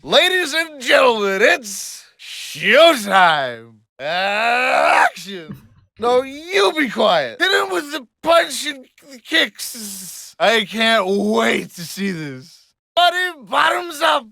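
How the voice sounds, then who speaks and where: Bossy fighting game announcer, theatrical, excitable, slow drawn-out exclamations, gravelly edge, dry studio sound. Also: medium pitch, no room sound, full character voice. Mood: hyped, bossy. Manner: shouty, drawn-out, commanding exclamations.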